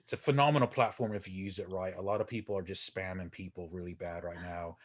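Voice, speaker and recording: A man in his forties, from Texas, talking as a guest on a podcast. His voice sits low, and he rambles in a friendly, self-deprecating way, full of ums, uhs and restarts. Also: fairly even intonation, quick pace. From Texas, USA. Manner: chatty, self-deprecating, many fillers and restarts. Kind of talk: casual interview talk.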